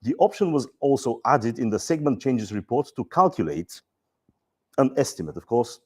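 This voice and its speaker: A man with a Bulgarian accent giving a conference presentation on software features, relaxed and dryly humorous, with frequent fillers and restarts. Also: mid-range voice, moderate pace, breathy edge.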